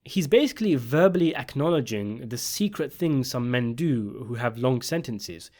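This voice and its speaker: A man's voice: medium pitch, lively intonation, moderate pace, a little mellow. A man narrating a scripted video essay, giving opinionated commentary on a television drama.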